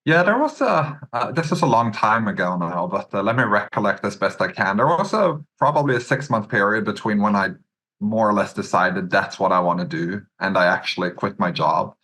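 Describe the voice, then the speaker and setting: A Norwegian man in his thirties with a Norwegian accent, a podcast guest telling his career story in a measured way, with many "uh"s and "um"s. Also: on the low side, animated, sharp tone. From Norway. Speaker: podcast guest, search fund entrepreneur. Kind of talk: relaxed interview answers.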